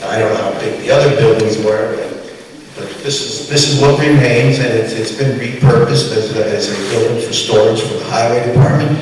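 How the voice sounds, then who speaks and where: Plainspoken man speaking at a community event, halting delivery, strong echo, long reverb. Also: medium pitch. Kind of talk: informal speech at a community event. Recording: echoey hall, distant mic, background noise.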